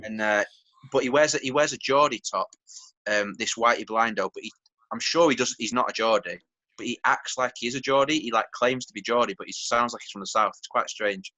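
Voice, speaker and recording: A man's voice: medium pitch, brisk, sharp tone, poor audio. A man chatting casually as a team manager, reacting with enthusiasm while reviewing rap battles. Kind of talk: casual chat.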